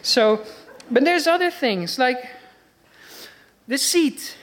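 A woman's voice: sits high, animated, slow. Dutch-accented woman preacher, earnest, conversational, full of rhetorical questions. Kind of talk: sermon.

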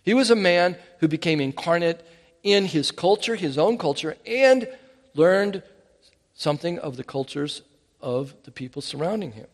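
A man preaching with an animated, emphatic delivery, often stopping to restart a phrase. His voice sits a little higher than most men's. A long hall reverb trails after his words. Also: normal speed. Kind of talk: sermon.

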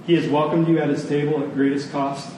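A man with a fairly high voice preaches earnestly in a very echoey room. He speaks deliberately and repeats phrases for emphasis. The microphone sounds a little distant. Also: little pitch movement, a little mellow. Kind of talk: sermon to a congregation. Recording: very echoey room, distant mic, faint noise.

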